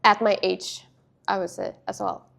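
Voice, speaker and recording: A young adult woman who grew up in Sweden, speaking as an interview guest in halting sentences full of fillers and restarts. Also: mid-range voice, steady tone, creaky, fairly bright tone. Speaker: interview guest, padel player. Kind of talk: casual interview.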